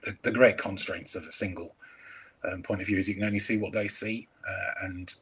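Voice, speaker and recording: A man's voice: low-pitched, steady tone, brisk, fairly bright tone, slightly rough, poor audio. A man chatting as a crime author over a video call, hesitant with many ums and restarts. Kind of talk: casual interview chat.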